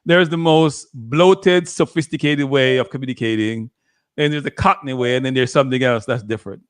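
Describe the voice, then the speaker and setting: A man's voice: medium pitch, fairly quick, smooth. Jamaican man arguing football. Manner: argumentative, repeats himself, frequent laughter. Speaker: football watchalong co-host. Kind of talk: live football watchalong chat.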